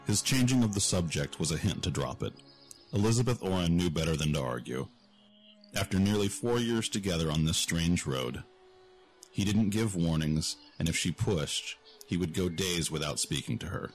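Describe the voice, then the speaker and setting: A male narrator reads a scripted fantasy audio drama. His voice is low-pitched, with quiet music playing underneath. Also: lively intonation, moderate pace.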